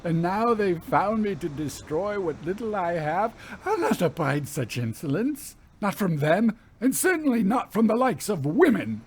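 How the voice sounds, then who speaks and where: A man's voice: high-pitched, big pitch swings, measured pace, few pauses, husky, pitched above the performer's own voice, exaggerated voice. A man voicing a defiant, scornful old sea captain in a story, with indignant, archaic and emphatic speech. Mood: furious, defiant.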